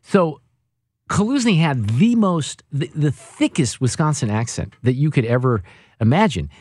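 A man's voice: a little high, moderate pace, slightly rough, no echo. A male radio host chatting casually on air, telling stories and teasing his co-host. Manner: teasing, animated storyteller.

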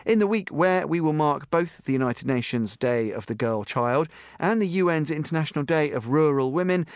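Male show host, brisk and formal, with an animated, up-and-down delivery. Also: on the high side, clean tone, dry recording, clean studio sound. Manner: polished, formal phrasing that turns into halting, rephrased questions. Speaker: podcast host. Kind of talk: scripted intro, then interview questions.

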